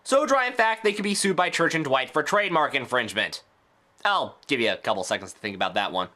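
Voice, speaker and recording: Animated, bright-voiced male reviewer. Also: fairly high pitch, quick pace. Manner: opinionated, sarcastic. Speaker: video reviewer. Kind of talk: scripted review commentary with sarcastic asides.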